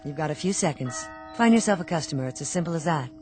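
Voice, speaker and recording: Female devilish bargainer: low voice, sardonic, condescending, cool, radio play over faint music. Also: animated, quick pace, a little mellow. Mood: sly, mocking. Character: cool, sly, mocking devilish woman striking bargains. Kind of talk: radio drama acting.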